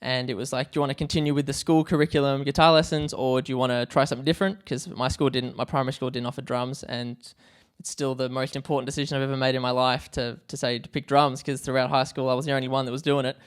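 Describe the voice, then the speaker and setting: Clear-voiced Australian man hosting casually. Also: medium pitch, flat delivery, quick pace. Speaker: podcast host. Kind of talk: casual remote interview.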